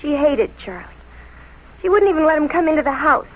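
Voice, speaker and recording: High-voiced, gushing American teenage girl, vintage radio. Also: lively intonation. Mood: hurt, pleading. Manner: breathless, slangy, halting confession. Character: lovestruck, defiant teenage girl, gushing and naive. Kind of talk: 1940s American radio crime drama acting. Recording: noisy vintage radio recording.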